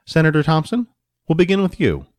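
A man voicing a male mediator who announces loudly and formally. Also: a little high, huge pitch swings, brisk, no room sound, clean studio sound, pitched above the performer's own voice. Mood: grand.

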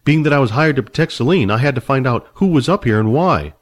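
A man's voice: medium pitch, animated, quick pace, close to an everyday voice. A man reading a storybook aloud, voicing an earnest, hungry young barbarian man who talks plainly and straightforwardly.

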